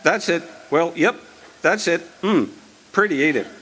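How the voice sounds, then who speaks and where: A man's voice: a little high, unhurried, sharp tone, a little hiss or hum. A man playing a stubborn country storekeeper, laconic, hesitant and rustic, in old-time radio drama style. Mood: musing.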